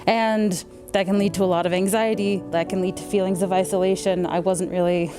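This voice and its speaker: A young woman student answering documentary interview questions in a reflective, measured way. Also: mid-range voice, little pitch movement. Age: young adult. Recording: music bed under the voice.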